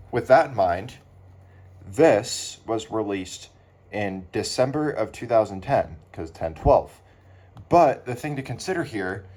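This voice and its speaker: A man giving casual, unscripted commentary as a hobbyist doing an unboxing, speaking haltingly with pauses. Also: fairly low pitch, a little mellow. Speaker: hobbyist unboxing weather radios. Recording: clear background noise, handling clicks.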